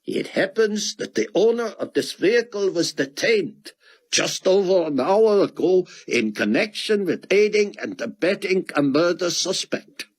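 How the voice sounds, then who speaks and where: A man's voice: high-pitched, expressive, unhurried, voice pushed up. A man voicing a young foreign peacekeeping officer who speaks with a heavy accent in stilted, commanding phrases. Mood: suspicious.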